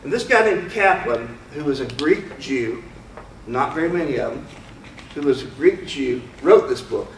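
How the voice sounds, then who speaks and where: A man's voice: on the high side, animated, normal speed, a little hiss or hum. A man giving an informal lecture to an audience, speaking frankly and conversationally and asking many rhetorical questions. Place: lecture room. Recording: roomy hall, mic far off.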